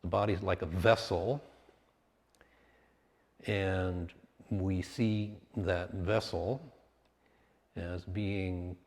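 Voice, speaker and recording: A man with a low voice guides a meditation at a very unhurried pace. He offers instruction in short, measured phrases with space between them. The sound carries a long, hall-like reverb. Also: steady tone.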